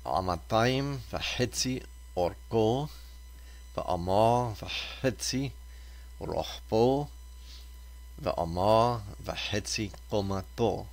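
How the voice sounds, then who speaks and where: A man reading Torah scripture aloud as a reader, deliberately, in short separated phrases. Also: on the low side, lively intonation.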